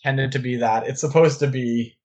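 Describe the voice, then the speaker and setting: A man's voice: mid-range voice, fairly even intonation, fairly quick, a little mellow. A man talking casually about films as a podcast co-host, enthusiastic and given to rambling asides. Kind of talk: casual film discussion.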